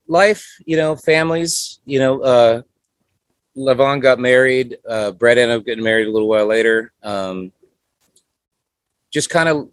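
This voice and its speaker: A man's voice: medium pitch, no echo. A man in his forties, a guest musician chatting casually in an interview, rambling with lots of filler phrases. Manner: rambling, many "you know" fillers.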